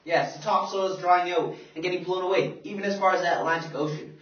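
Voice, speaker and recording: A man's voice: high-pitched, steady tone, fast, a bit bright. A man playing an earnest 1930s radio news anchor in a scripted broadcast drama. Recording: echoey, distant, dull amateur recording.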